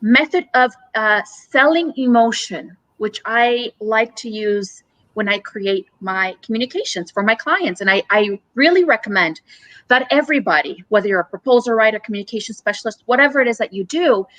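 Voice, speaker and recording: A woman's voice: on the high side, expressive, moderate pace, fairly bright tone, no room sound, poor audio. A woman originally from Romania, a company CEO, speaking as a guest on a live-streamed interview and explaining her ideas earnestly, often listing points one after another.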